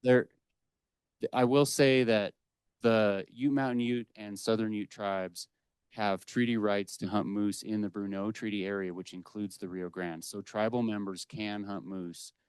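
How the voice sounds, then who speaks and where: A man's voice: fairly low pitch, steady tone, measured pace, a little mellow, smooth, no room sound. A man speaking as a wildlife official on a panel, explaining policy off the cuff in an assertive, emphatic way. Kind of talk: unscripted panel remarks, explanatory. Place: indoor public panel discussion at a library.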